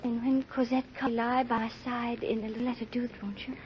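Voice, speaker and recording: A woman's voice: a little high, flat delivery, a little fast, natural voice. An actress playing a fragile, yearning young sick mother in a 1930s radio drama, breathless and emotional. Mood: wistful. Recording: dull noisy 1930s radio recording.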